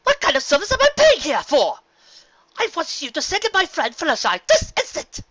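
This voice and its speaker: A genteel lady pony from an audiobook, very high in pitch and swooping widely. She is prim and theatrical, given to indignant, desperate exclamations. The sound is a little lo-fi. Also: huge pitch swings, a little fast, pushed and bright, dry recording, heavily acted. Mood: indignant, alarmed. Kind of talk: fan fiction audiobook character voice.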